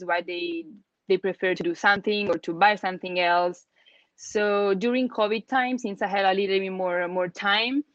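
A young woman with an Italian accent, chatting as an interview guest, full of "like" and restarts and quick laughs. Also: medium pitch, dry recording, muffled recording. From Italy. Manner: chatty, many fillers and restarts, laughs often. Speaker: guest, email account manager. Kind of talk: casual interview chat.

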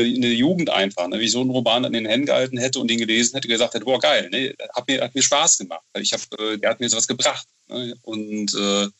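A man talking casually as an author being interviewed, halting and repeating words, often adding little tag questions. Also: medium pitch, bright, forceful tone, lo-fi sound. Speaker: interview guest, novel author.

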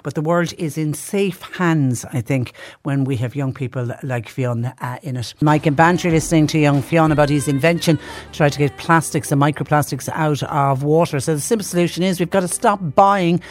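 Effusive radio host. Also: male voice, on the high side, moderate pace. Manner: warm, effusive, rambling with restarts. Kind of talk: live radio talk show.

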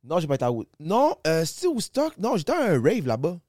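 A man's voice: fairly high pitch, huge pitch swings, a little fast, a little mellow, dry recording, studio quality. A man in his forties with a Québécois French accent, a guest rapper chatting casually on a podcast, rambling and often asking if you follow. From Quebec. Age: 40s. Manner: rambling, restarts often, asks "tu comprends?".

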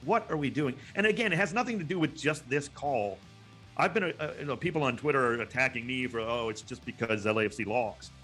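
A man's voice: mid-range voice, a little fast, a bit bright, faint music behind. A man giving impassioned sports commentary in a panel discussion, emphatic and exasperated, repeating words for stress. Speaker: sports broadcaster, guest pundit.

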